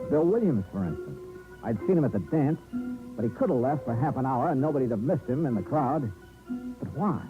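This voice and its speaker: An actor playing a hardboiled, shrewd investigator who narrates and questions in a clipped, sardonic 1950s radio-drama style. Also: male voice, medium pitch, lightly acted. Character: hardboiled, shrewd male insurance investigator narrating his case. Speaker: narrator and lead detective. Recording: tinny old radio recording with music.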